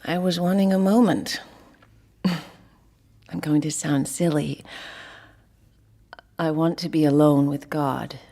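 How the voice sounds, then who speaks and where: An actress playing a shy, earnest, devout singer in an intimate audio drama, speaking in a hesitant, soft-spoken, sincere way. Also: female voice, deep voice, steady tone, slow pace, pauses often, exaggerated voice. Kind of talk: intimate two-person audio drama acting.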